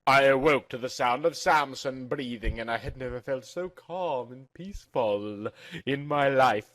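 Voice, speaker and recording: A man's voice: medium pitch, a little slow, fairly bright tone, dry recording. A man narrating a first-person story in a theatrical, dramatic amateur reading style.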